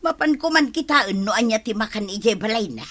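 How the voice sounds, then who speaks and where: A woman's voice: fairly high pitch, animated, a little fast, fairly bright tone. A woman acting a cheeky freeloading neighbour in a comedy radio drama, giggly and drawling, with an Ilocano accent. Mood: breezy.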